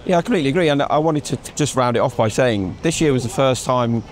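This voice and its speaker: A man in his thirties hosting a casual motorsport chat outdoors, asking quick questions on a lapel mic. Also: mid-range voice, a little hiss or hum. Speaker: host. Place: outdoors in a racing paddock at night. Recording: faint outdoor street ambience.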